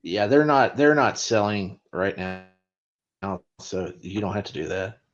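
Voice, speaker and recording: A man with a low voice who chimes in with short, blunt, teasing remarks. His audio sounds dull and lo-fi. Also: animated, takes it slowly, faint music behind. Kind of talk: casual chat.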